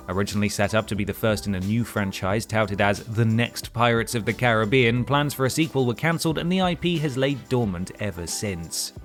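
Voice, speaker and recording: Wry man with a very animated delivery. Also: mid-range voice. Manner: wry, sarcastic asides. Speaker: host of a video countdown. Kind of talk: scripted comedic list narration.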